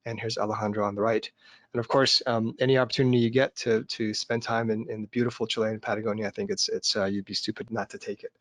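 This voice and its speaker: A man hosting a webinar over a video call, introducing a guest in a measured way with frequent ums and restarts. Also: lowish voice, little pitch movement, fairly bright tone, no room sound. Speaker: webinar host.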